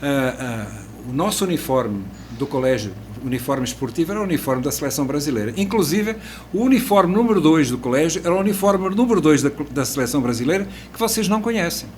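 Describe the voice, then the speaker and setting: A man's voice: on the high side, animated, fast, darkish tone, slight background noise. A man in his sixties from Portugal with a European Portuguese accent, a podcast guest telling his life story with restarts and hesitant fillers. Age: 60s. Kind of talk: casual podcast chat.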